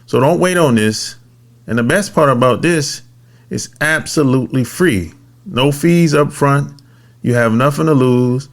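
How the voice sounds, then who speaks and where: A man hosting a podcast at an unhurried, measured pace, leaning on his words like an announcer. His voice sits at an ordinary middle pitch, and he reads sponsor spots and guest introductions with emphasis. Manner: slow, deliberate announcer-style delivery with emphatic phrasing. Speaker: podcast host.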